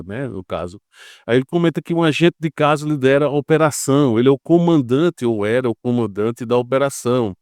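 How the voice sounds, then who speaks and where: A man's voice: medium pitch, darkish tone, no room sound, studio quality. A man with a Brazilian Portuguese accent giving informal news commentary as an online geopolitics commentator, explaining step by step and often checking in with short tag questions. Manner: explanatory, peppered with tag questions like "right?" and "okay?".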